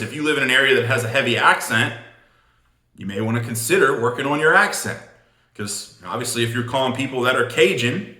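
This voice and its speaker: A man's voice: mid-range voice, pushed and bright. A man giving an instructional sales talk as a coach, emphatic and informal, blunt, repeating words for punch. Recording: roomy, echoing room sound.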